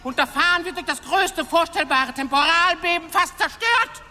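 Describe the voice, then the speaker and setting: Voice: extremely high pitch, lively intonation, moderate pace, bright, forceful tone, heavily acted. An actor in a science fiction radio drama playing an arrogant, choleric, ancient alien scientist who rants with pompous indignation. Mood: bitter outrage. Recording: long reverb, music underneath.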